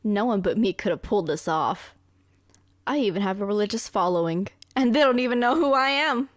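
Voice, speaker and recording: Casting: woman playing smug young anime villainess girlfriend, manipulative, boastful, coaxing, mid-pitched, very dry close sound. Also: lively intonation, brisk, dry recording, close to an everyday voice. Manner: boastful, coaxing, self-satisfied. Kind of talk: anime ASMR girlfriend roleplay.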